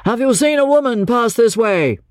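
A woman's voice: on the high side, expressive, moderate pace, a little mellow, dry recording, voice pushed up, natural voice. A woman voicing a commanding man who keeps an asylum, calling out urgent orders in an audiobook reading.

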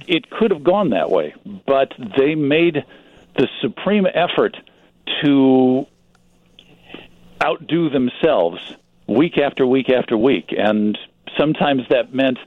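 A man's voice: mid-range voice, dry recording. Dull-sounding radio line, thoughtful American man. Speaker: radio guest, magazine publisher. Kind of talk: conversational expert commentary.

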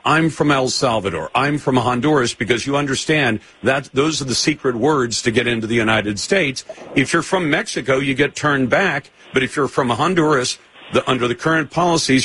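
Forceful male talk radio host. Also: mid-range voice, slight background noise, poor audio. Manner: forceful, interrupts and presses the caller with questions. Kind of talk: combative call-in talk radio.

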